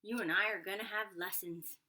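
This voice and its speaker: A woman's voice: medium pitch, steady tone, brisk, sharp tone. A woman voicing a sensible young girl in a children's storybook, firm and instructive. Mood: coaxing.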